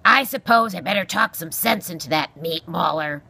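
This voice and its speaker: A woman's voice: medium pitch, sing-song, measured pace, gravelly, sharp tone, husky, no echo, pitched above the performer's own voice, exaggerated voice. A woman voicing a grumpy old male porcupine in a storybook, barking scornful insults in a growling bluster.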